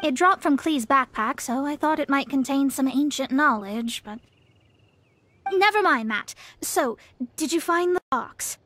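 A woman's voice: sits high, measured pace, a bit bright, a little hiss or hum. A woman voicing a haughty, formal young female astrologist in a video game, with pompous, precise diction. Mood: bored, dismissive. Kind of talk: video game dub acting.

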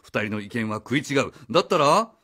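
A man's voice: mid-range voice, big pitch swings, fairly quick, sharp tone, husky, exaggerated voice. An actor playing a brash, good-hearted meddling man in a radio drama, casual, pushy and given to theatrical lecturing. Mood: pompous. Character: brash, meddling, good-hearted middle-class stranger uncle. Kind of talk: Japanese radio drama acting.

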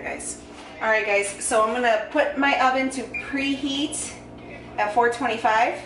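A woman, chatting casually with filler words and self-joking while she cooks in her home kitchen, picked up by a camera mic as she moves around. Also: medium pitch. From United States. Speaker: vlogger. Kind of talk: casual cook-along vlog. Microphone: camera mic, moving about the room. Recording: echoey kitchen with noise.

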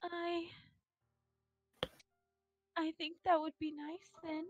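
A woman voicing an anxious, sad teenage anime girl from a visual novel, halting and timid. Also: extremely high pitch, little pitch movement, dry recording, muffled recording, faint music behind. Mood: tearful, pleading.

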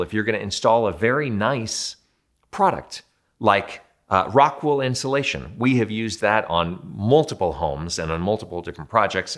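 A man's voice: low-pitched, a bit bright. A man in his thirties, a building performance consultant, explaining home quality control to camera in a conversational, self-deprecating way from an indoor studio.

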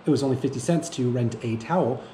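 A man in his thirties speaking off the cuff to camera as a fitness vlogger, haltingly, often repeating himself and searching for words. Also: medium pitch, dark tone. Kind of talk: reflective unscripted monologue to camera. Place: indoor room with bare walls. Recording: echoey room with faint background noise.

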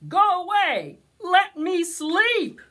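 A woman's voice: very high-pitched, big pitch swings, drawn-out words, a bit bright, voice pushed up, full character voice. A woman voicing a sleepy, grumpy storybook bear who grumbles and protests. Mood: angry.

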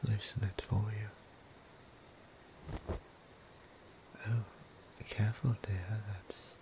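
A man playing a gentle, courteous, centuries-old vampire priest in an intimate ASMR roleplay, speaking formally and tenderly in a hushed half-whisper. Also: lowish voice, gravelly, noisy background. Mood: concerned, hesitant.

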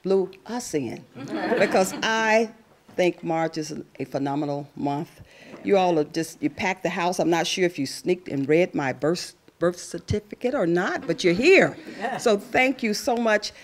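A woman's voice: fairly low pitch, unhurried. A woman of fifty-nine from Tennessee addressing a gathering as an event speaker on a handheld microphone. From Tennessee, United States. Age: 59, nearly sixty. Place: indoor community meeting room. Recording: crowd noise in room.

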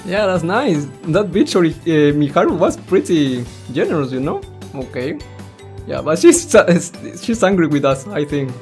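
Male let's player, casual and rambling, animated pitch, slightly stumbling delivery, mild swearing, music behind. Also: normal speed. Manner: rambling, stumbling over words, swearing mildly. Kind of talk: casual reaction commentary while reading a visual novel aloud.

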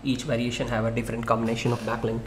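Brief: male tutor, mid-pitched, casual screen-share walkthrough, faint hiss. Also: monotone, rapid-fire. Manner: loose, conversational explaining with fillers and restarts. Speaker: SEO tutorial presenter.

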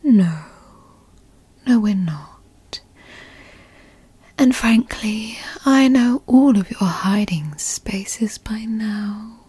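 A woman's voice: medium pitch, lively intonation, warm tone, no room sound, exaggerated voice. Whispering possessive girlfriend, acted by a woman. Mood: coaxing, teasing. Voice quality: breathy, mostly whispered. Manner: slow, coaxing, hesitant, formal wording. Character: possessive, doting yandere girlfriend, sweetly controlling and coy. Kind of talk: whispered ASMR roleplay. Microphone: close microphone, dry sound.

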